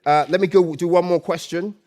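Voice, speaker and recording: Loud, high-voiced man hosting a live event. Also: steady tone, fairly quick. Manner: loud, playful, coaxing the room, repeats phrases. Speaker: event host and MC. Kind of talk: live stage hosting with audience quiz. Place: live summit stage before an audience.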